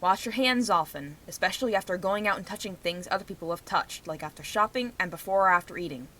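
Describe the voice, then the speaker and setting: A woman's voice: lowish voice, fast, fairly bright tone, rough recording. A young adult woman giving rambling personal commentary in a vlog, speaking in an emphatic, outspoken way. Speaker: vlogger.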